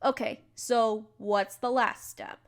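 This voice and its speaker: A woman playing a kind, patient alchemist who gently teaches and reassures an apprentice in an intimate audio roleplay. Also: fairly high pitch, steady tone, slow. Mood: instructive, encouraging. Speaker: voice actor in a scripted audio roleplay. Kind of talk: intimate scripted roleplay, one-sided conversation with listener.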